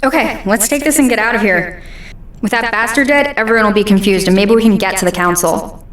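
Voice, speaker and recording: An actress playing a bossy but affectionate young princess in an audio drama. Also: female voice, mid-range voice, fairly quick, a bit bright, a little hiss or hum, close to an everyday voice. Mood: urgent, puzzled.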